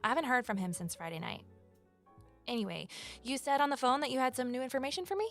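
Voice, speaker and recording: An actress playing a wary amateur sleuth and former journalist in a naturalistic audio drama, speaking in a cautious, matter-of-fact way. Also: female voice, sits high, animated, quick pace, faint music behind.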